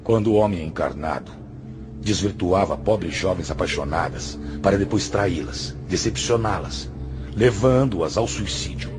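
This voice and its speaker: A man's voice: sits low, expressive, a little slow. A man acting in a radio drama as a stern, patient spiritual master, speaking in a solemn, admonishing and didactic way. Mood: grave reproach. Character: stern, patient male spiritual master, grave moral counselor. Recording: music under the voice.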